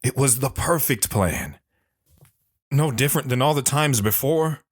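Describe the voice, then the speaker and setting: An actor playing a bitter, haunted older army sergeant telling his story in a brooding, halting way for an audio drama. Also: mid-range voice, no echo, studio quality, close to an everyday voice. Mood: somber reminiscing. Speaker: dramatic monologue narrator.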